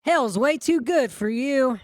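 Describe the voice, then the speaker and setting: Woman as a slow, high-voiced vengeful boy. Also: animated, a little mellow, no room sound, studio quality. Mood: gleeful mockery. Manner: taunting, drawn-out delivery. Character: vengeful Southern boy, gleefully cruel and mocking. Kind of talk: audiobook character acting.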